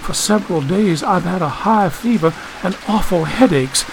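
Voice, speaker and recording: A man's voice: high-pitched, lively intonation, moderate pace, creaky, background noise, pitched above the performer's own voice. A man voicing a frightened, sick young woman in an audiobook, plaintive and polite. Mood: distressed. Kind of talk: audiobook character voice.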